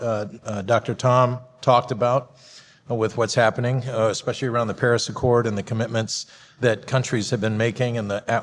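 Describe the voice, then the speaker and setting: A man moderating a conference panel, introducing the speakers with many halting fillers. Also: medium pitch. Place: conference hall. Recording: reverberant hall.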